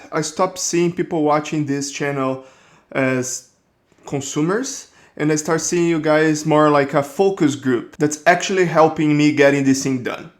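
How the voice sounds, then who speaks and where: Brazilian man, 30s, host voice, slightly high, easygoing storyteller with restarts. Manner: relaxed, rambling storytelling with restarts. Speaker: creature designer, channel host. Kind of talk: casual personal narration.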